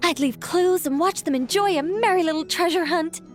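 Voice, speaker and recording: A woman playing a whimsical young witch in a video game, self-assured and breezy, musing in a gently theatrical way. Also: extremely high pitch, normal speed, soft background music. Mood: pleased, playful.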